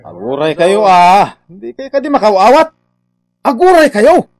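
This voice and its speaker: An actor in a radio drama playing an irritable, evasive contractor boss with a blustering, defensive manner. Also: male voice, high voice, wildly swooping pitch, measured pace, a little mellow, dry recording, clean studio sound, heavily acted. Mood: irritated.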